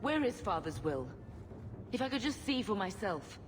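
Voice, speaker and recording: A woman's voice: medium pitch, big pitch swings, normal speed, background noise, close to an everyday voice. An actress playing a proud, sorrowful older noblewoman and mother in a fantasy game, earnest and plaintive. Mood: distressed. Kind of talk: fantasy video game acting. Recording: loud music behind the voice.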